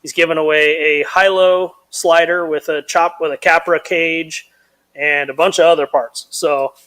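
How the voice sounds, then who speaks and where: A man with a somewhat high voice co-hosts a live stream in easy, casual chat. He is blunt and laid-back, and he chuckles often between thoughts. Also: steady tone, measured pace, a bit bright.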